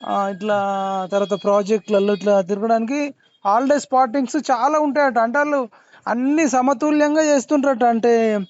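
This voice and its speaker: A man's voice: very high-pitched, darkish tone. A man with a Telangana Telugu accent, chatting casually as the host of a phone conversation. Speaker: host taking a phone call. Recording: dull, slightly muffled call audio.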